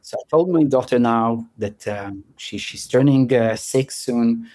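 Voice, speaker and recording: Halting, self-deprecating man in an interview. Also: mid-range voice, darkish tone. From born in France, grew up in Israel. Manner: direct, halting with many restarts, laughs at himself. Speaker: guest. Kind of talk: conversational interview answers.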